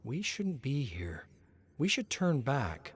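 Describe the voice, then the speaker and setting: A man's voice: mid-range voice, huge pitch swings, takes it slowly, husky, pitched above the performer's own voice, heavily acted. A man voicing an uneasy, wary young woman hiker in a horror story.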